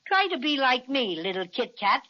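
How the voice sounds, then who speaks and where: Lady doing a plucky little teddy bear, high voice, kinda bossy, kids radio play, muffled audio. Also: sing-song, moderate pace. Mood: boastful. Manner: plucky, folksy, self-important. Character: small boastful toy cinnamon bear, brisk, bossy. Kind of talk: children's radio serial acting. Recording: dull lo-fi audio.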